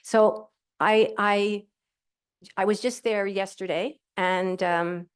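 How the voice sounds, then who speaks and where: A middle-aged woman speaking as a panel guest at a live event, using a handheld mic. She tells her story in a cheerful, candid and rambling way. Her voice sits at a medium pitch. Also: fairly even intonation, slow pace, clean tone. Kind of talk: telling her personal story. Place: event hall with audience. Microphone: handheld microphone.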